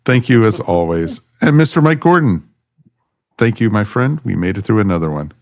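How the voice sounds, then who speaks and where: A man's voice: fairly low pitch, lively intonation, moderate pace. A man hosting a podcast in casual, chummy chat, addressing everyone like friends. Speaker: podcast host.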